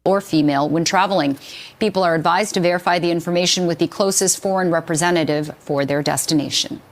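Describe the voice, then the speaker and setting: A woman's voice: on the low side, fast talker. A woman reading scripted news narration as a news reader.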